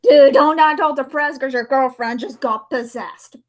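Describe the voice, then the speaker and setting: A woman's voice: high-pitched, normal speed. A woman chatting casually as host of a livestream, in a talkative, informal way full of exclamations.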